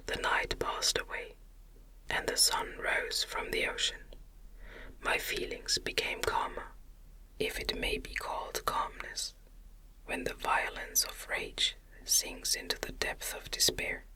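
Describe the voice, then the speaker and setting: A narrator reading a classic story in a soft, breathy, soothing ASMR whisper with measured, deliberate phrasing. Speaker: audiobook reader.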